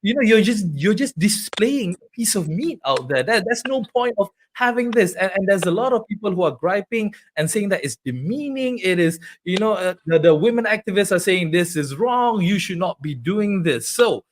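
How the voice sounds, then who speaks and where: A man's voice: high-pitched, animated, normal speed, few pauses. A man from Malaysia hosting a casual interview, upbeat and chatty, leading with questions. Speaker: talk show host.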